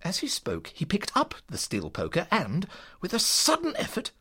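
Earnest narrator-companion, played by a man in detective audio drama. Expressive storytelling, sharp tone, dramatic swoops. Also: high-pitched, normal speed, slightly rough, heavily acted. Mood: vivid, suspenseful.

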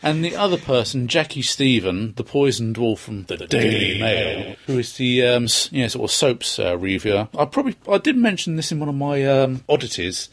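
Male British podcast panellist, husky mid-range voice, wordy and colourful in casual fan discussion. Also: medium pitch, very animated, moderate pace, hardly any pauses. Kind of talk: casual opinionated fan chat.

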